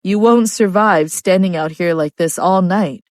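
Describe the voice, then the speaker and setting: A woman voicing a polite male bodyguard who is concerned and speaks gently, near her own voice. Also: fairly low pitch, unhurried, dry recording, clean studio sound, lightly acted.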